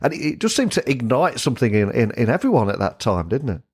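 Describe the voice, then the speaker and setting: A man's voice: mid-range voice, very animated, fast talker, a little mellow, husky. A man hosting a podcast, delivering upbeat, scripted-sounding introductions and questions. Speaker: podcast host. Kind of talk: podcast interview.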